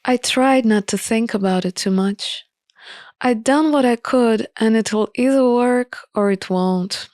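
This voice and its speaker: A woman narrating a visual novel in an expressive, measured storybook style. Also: fairly high pitch, no echo, clean studio sound. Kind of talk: expressive storybook-like reading of game text. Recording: music behind the voice. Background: music.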